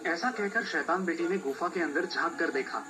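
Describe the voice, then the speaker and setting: A man's voice: fairly high pitch, normal speed. A man narrating a scripted children's folk tale as a storyteller. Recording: music behind the voice.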